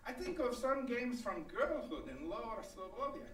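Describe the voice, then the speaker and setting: Woman playing a blunt, animated granny. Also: fairly high pitch, normal speed. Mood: wry, flustered. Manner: broken English, blunt and animated. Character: blunt, wry immigrant grandmother from a fictional Slavic country. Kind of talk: old-time radio comedy acting before an audience. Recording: roomy live stage, distant microphone.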